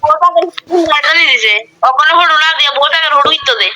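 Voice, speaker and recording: A speaker with an eastern Bengali rural dialect chatting casually in a halting, repetitive, colloquial way. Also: high voice, background noise. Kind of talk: casual phone chat.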